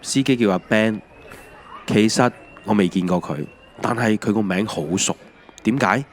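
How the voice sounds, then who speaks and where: A man's voice: fairly low pitch, normal speed, a little hiss or hum. A man with a Hong Kong accent, narrating a scripted autobiographical audio drama in a reflective, wry storytelling manner. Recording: music under the voice. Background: background music.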